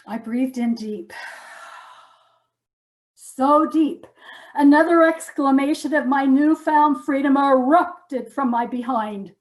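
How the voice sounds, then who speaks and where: High-pitched woman performing a slow comic monologue. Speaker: stage performer. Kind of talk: theatrical comic monologue, first-person storytelling.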